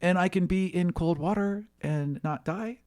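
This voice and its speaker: Man putting on an eager, high-voiced awkward boy. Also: measured pace, a little mellow. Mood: earnest, flustered. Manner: nervous, stammering, gushing. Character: eager, awkward young boy, demigod of otters.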